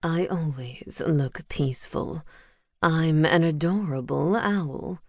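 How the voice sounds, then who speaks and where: A woman voicing a vain, sardonic male pet owl in a storybook, talking in a dry, deadpan and self-satisfied way. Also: sits low, slow, no echo, voice pushed down, full character voice.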